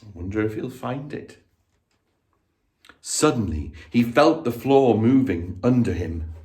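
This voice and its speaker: A man reading a children's story aloud like a teacher, dramatic and expressive, drawing his young listeners in. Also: mid-range voice, a little slow. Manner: dramatic, inviting listeners to join in.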